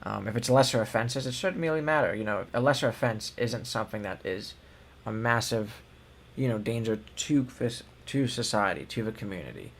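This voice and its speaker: A man's voice: lowish voice, lively intonation, brisk, vocal fry, a little hiss or hum. A young man co-hosting a news show, reading headlines and then giving loose, filler-heavy opinions. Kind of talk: casual news commentary.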